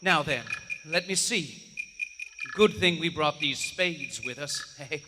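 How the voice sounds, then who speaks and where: An actor playing a domineering, driven occult investigator, grave and condescending, in a theatrical horror radio play performed live. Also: male voice, fairly high pitch, slow pace, noisy background. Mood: brisk, straining. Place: on stage in a large theater. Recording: live theater hall reverb.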